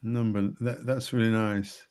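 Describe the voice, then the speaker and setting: Slow, measured man. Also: on the low side, little pitch movement. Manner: slow, measured, with repeated words and soft laughs. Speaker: executive coach. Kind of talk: reflective podcast conversation.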